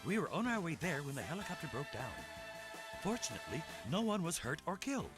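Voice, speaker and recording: A man performs an earnest video game cutscene character reporting an emergency, in a fairly high male voice that swings up and down, with music playing behind him. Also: big pitch swings, quick pace. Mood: earnest, unhurried.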